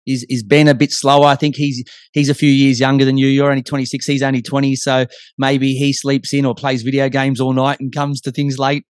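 A man's voice: medium pitch, level tone, brisk, no echo. Male sports interviewer, dry close sound. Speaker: interview host. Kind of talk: casual sports chat.

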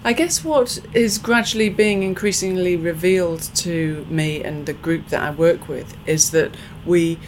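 A woman scientist answering interview questions in a podcast, thoughtful and earnest, pausing on fillers as she explains. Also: low-pitched, slight background noise, rough recording. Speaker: scientist and professor being interviewed.

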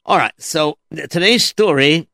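A man's voice: a little high, a little slow, creaky, pushed and bright, no room sound, studio quality. A man hosting a comedic children's story show, patient and dryly teasing toward his sidekick. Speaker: storyteller host.

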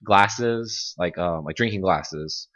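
Deep-voiced chatty man, lots of "like". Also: slow, a bit bright. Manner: chatty, filler-heavy, laughs often. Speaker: podcast co-host. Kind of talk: casual chat. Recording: dull lo-fi audio.

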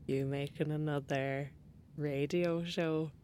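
A woman's voice: deep voice, fairly even intonation, slow pace, dark tone, smooth. A woman playing a bold, teasing young friend in an audio drama, with an Irish accent and a wry, cajoling, colloquial manner. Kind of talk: naturalistic audio drama acting.

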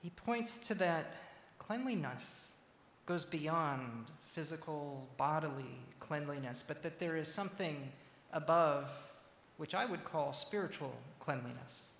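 A man preaching a sermon as a church minister, speaking in measured, deliberate phrases with pauses. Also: a little high, fairly bright tone. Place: large reverberant church interior. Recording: echoey, reverberant hall with faint noise.

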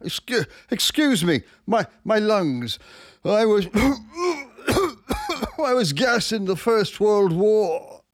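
An actor playing a frail, earnest old doctor, halting and pedantic, in a 1940s radio drama. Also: male voice, sits high, big pitch swings, heavily acted. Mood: wheezy, apologetic. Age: older.

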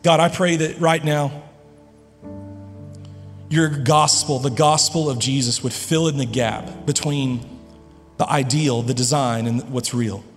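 A man preaching a sermon to his congregation, earnest and emphatic, with dramatic pauses. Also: medium pitch, long reverb tail, soft background music. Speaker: pastor. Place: large church hall.

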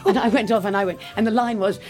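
A woman's voice: mid-range voice, fairly even intonation, quick pace, darkish tone, soft background music. A woman telling a comic anecdote from the stage as an interview guest, in a chatty, amused way. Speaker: actress guest.

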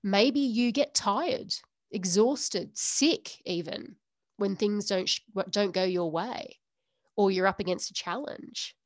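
Woman, podcast host, earnest rhetorical questions, mid pitch, dry sound. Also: slow, no room sound. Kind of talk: solo podcast coaching talk.

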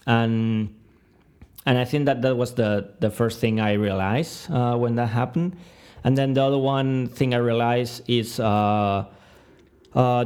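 Hesitant young man with creaky, low voice. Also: a little mellow. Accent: Spanish-speaking. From Argentina. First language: Spanish. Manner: hesitant, searching for words. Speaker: podcast guest. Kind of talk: reflective interview talk.

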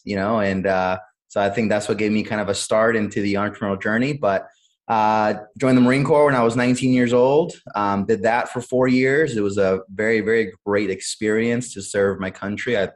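A man in his thirties from Cleveland, born in Honduras, telling his life story as a podcast guest in an earnest way, with many "uh"s and repeated words. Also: fairly low pitch. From born in Honduras, raised in Cleveland, Ohio. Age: 30s. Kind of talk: candid conversational storytelling.

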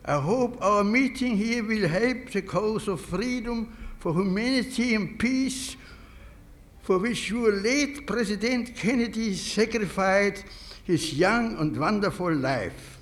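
An older man with an Austrian German accent, a native German speaker, giving a formal prepared speech in careful, halting English. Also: high-pitched, lively intonation. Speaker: conference speaker.

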